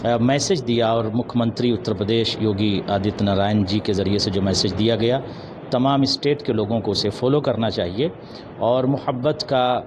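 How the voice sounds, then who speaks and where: Man speaking over loud street noise. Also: lowish voice. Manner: measured, formal Urdu-laced diction with pauses and some English words. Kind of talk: earnest interview answer in a preaching manner. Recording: noisy outdoor urban street recording with traffic. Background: loud street ambience and vehicles.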